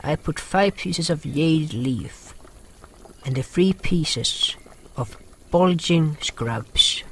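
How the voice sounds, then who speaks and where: A man acts out a gentle healer in a fantasy audio drama. The voice is fairly high and lively in pitch, with formal, stilted phrasing taken at a very slow pace. Music plays behind it. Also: a little hiss or hum, exaggerated voice. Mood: solemn storytelling. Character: River, gentle, caring healer with water powers. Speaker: narrator and lead character. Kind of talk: first-person fantasy audio drama narration and dialogue.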